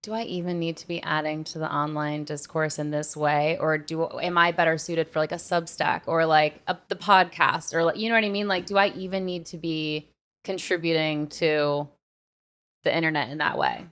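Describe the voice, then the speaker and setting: A woman's voice: low-pitched, steady tone, moderate pace, a bit bright. A young woman co-hosting a podcast, chatting casually in an emphatic, colloquial way and repeating words for stress. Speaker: podcast co-host. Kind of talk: casual chat.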